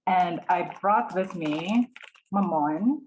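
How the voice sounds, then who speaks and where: A woman's voice: fairly low pitch, darkish tone, a little hiss or hum, poor audio. A woman from the Philippines, introducing herself playfully on a video call. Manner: slow, playful, laughing. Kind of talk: casual video call chat.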